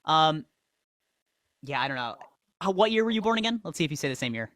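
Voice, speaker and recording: A man's voice: a little high, big pitch swings, brisk, a bit bright, no room sound, clean studio sound. A man hosting a livestream, debating a caller with pressing, pointed questions. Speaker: livestream host.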